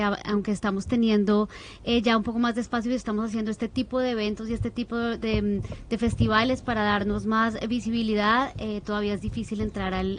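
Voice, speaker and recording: A woman with a Colombian accent, a musician answering an interview question in a calm, plain-spoken way. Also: a little high, slight background noise, low-quality recording. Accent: Colombian Spanish.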